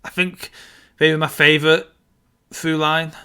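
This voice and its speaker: A man's voice: a little high, flat delivery, measured pace, sharp tone, clear, resonant tone. A man co-hosting a podcast in a casual, conversational style. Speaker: podcast co-host. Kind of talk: casual chat.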